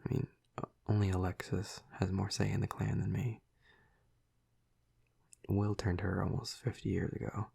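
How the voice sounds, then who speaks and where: A flirty, protective male vampire, acted by a man, murmurs intimately to the listener in an audio roleplay. His low voice is very husky and breathy, thick with gravelly fry. He talks slowly and softly, with a hesitant, soothing manner. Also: completely flat delivery, full character voice. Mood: reassuring, wry. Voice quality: breathy, gravelly half-whisper. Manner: slow, hesitant, soft, soothing.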